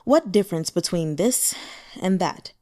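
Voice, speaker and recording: A woman's voice: lowish voice, measured pace. A woman voicing a powerful male demon lord who speaks evenly and indifferently. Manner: even, indifferent.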